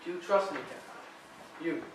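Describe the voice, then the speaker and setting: A man's voice: a little high, noisy background, close to an everyday voice. A man playing an earnest young immigrant. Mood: tender. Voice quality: halting immigrant English, measured phrasing. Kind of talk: stage play acting. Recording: echoey room, voice from far off.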